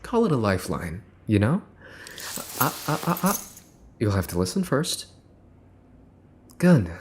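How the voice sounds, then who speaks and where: A man's voice: on the low side, a little mellow, heavily acted. A man playing a smug, menacing young mafia boss's son in an ASMR roleplay, mocking and teasing with theatrical deliberation. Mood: teasing, commanding. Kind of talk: ASMR roleplay, dramatic monologue to the listener.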